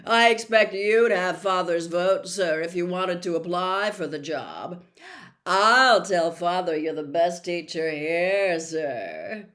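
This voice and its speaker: Lady doing a snotty gloating schoolboy in a storybook, loud sneery drawl, super smug. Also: on the low side, slow. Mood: smirking flattery.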